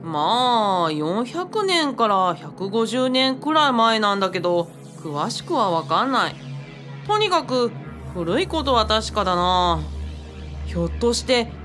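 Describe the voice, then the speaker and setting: A woman's voice: a little high, very animated, measured pace, fairly bright tone. A woman acting a put-upon young man in a radio drama, boyish and grumbling but good-natured. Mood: pondering. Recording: faint music behind the voice.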